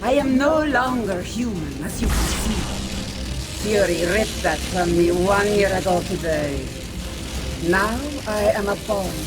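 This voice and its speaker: Cold vengeful villainess for a video game, slow n deliberate, menacing, music under it. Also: female voice, mid-range voice, animated, poor audio. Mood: bitter, menacing. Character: vengeful, coldly poised female supervillain leader turned living bomb. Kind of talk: video game cutscene acting. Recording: music playing behind the voice.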